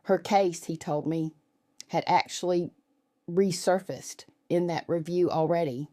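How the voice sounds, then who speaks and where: Female story narrator, low register, dry close sound, scripted first-person delivery. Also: fairly even intonation, measured pace, mellow, no room sound.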